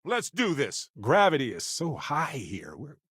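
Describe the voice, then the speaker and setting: An actor playing a wry, good-humored space explorer companion in a video game, talking in an expressive, conversational way. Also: male voice, fairly high pitch, measured pace, breathy edge, dry recording, studio quality, full character voice. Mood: resolute. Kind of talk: video game character voice lines.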